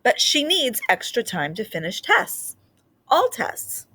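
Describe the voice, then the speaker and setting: Storybook art teacher, played by a woman reader: female, gentle, sincere, full of encouragement for a pupil. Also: a little high, very animated, slow pace, voice pushed up, heavily acted. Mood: firm. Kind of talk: storybook read-aloud dialogue.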